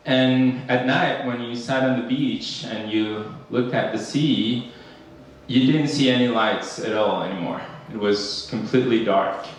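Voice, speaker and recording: Man from Ecuador, academic speaker, halting with fillers, distant mic, faint room hum. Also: medium pitch. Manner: hesitant, many fillers and restarts. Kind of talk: conference talk. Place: conference room. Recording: echoey hall, speaker far from mic.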